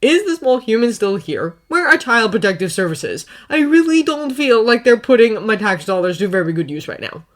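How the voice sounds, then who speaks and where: A woman's voice: on the high side, sing-song, normal speed, hardly any pauses, pitched above the performer's own voice. A woman reading a story voices a sarcastic, defiant male inventor who drinks hard. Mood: sardonic.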